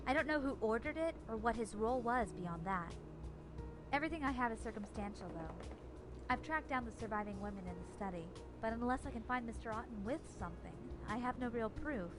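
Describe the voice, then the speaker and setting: A woman playing a serious, guarded investigator in a visual novel, speaking in a measured, earnest way. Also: a little high, lively intonation, lightly acted. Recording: music playing behind. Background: game music.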